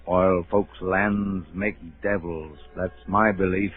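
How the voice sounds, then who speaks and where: An actor playing a gloomy, superstitious sailor in a 1930s radio play, muttering ominously. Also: male voice, deep voice, steady tone, slow pace, slight background noise. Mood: gloomy foreboding. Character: gloomy, superstitious, grumbling old-style sailor. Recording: narrow, muffled 1930s radio broadcast.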